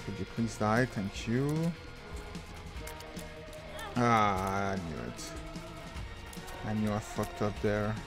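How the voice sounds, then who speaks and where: Slow-talking man, rambling. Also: fairly low pitch. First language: French. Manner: rambling, self-deprecating, frequent restarts. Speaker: gaming channel host. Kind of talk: casual gameplay commentary. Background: game music.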